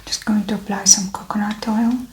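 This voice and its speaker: Whispery soft-spoken woman, even pitch. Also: moderate pace, reverberant, slight background noise. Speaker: ASMR performer playing a medical examiner. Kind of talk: ASMR medical exam roleplay, soft spoken.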